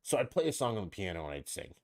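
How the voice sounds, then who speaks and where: Brash joking man with a low, lively voice. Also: rapid-fire. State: tipsy. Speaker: podcast co-host asking questions. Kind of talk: casual crude banter.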